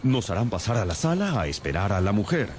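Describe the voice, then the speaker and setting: Un actor que interpreta a un detective brillante, formal y preciso en una radionovela. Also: male voice, on the low side, sing-song, brisk, darkish tone, a little hiss or hum. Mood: confident. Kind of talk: radio drama acting.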